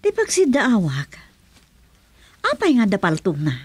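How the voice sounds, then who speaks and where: An indignant, wounded young woman in a radio drama with a Filipino accent. Her pitch swings widely, and the sound is dry and close. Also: wildly swooping pitch, a little slow, husky, dry recording, heavily acted. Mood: angry. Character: hurt, indignant young woman betrayed in love.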